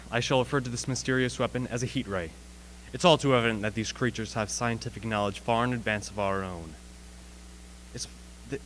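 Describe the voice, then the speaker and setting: A man's voice: mid-range voice, fairly bright tone, lightly acted, low-quality recording. Man acting a learned professor, faint background hum. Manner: formal, deliberate lecturing. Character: learned male astronomy professor, measured and analytical. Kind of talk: radio play acting.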